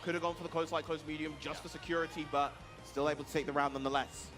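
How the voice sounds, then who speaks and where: A man's voice: a little high, level tone, very fast. A man giving analytical live commentary on a fighting game tournament as a co-commentator. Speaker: esports co-commentator. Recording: music behind the voice.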